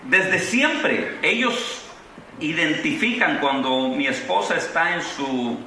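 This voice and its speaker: A man's voice: a little high, slow, slight background noise. A middle-aged Mexican man with a Mexican Spanish accent, preaching a sermon at a convention, moving from fervent proclamation to easygoing anecdotes. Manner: impassioned preaching with rising exclamations, then relaxed storytelling. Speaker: pastor. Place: large convention hall. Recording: echoey hall from far off.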